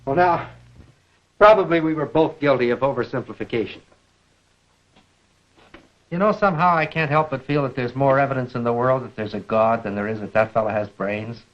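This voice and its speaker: American man lecturing, dull vintage film sound. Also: medium pitch. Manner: measured, deliberate, rhetorical questioning. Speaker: science lecturer and film presenter. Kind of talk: 1950s educational film lecture.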